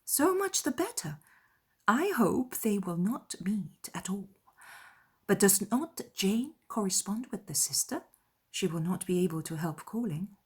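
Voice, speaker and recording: A woman plays a kindly middle-aged aunt from a period novel. She is sensible and gently concerned, speaking in a measured way with a soft, breathy voice. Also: mid-range voice, very animated. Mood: hopeful, doubtful. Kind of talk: dramatized classic novel audiobook.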